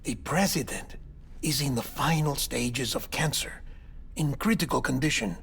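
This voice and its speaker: An actor playing an eccentric, chatty middle-aged coroner in a video game cutscene, with theatrical, halting phrasing. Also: male voice, medium pitch, creaky, fairly bright tone, breathy edge, full character voice. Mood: earnest, persuasive. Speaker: doctor and former coroner in a game story.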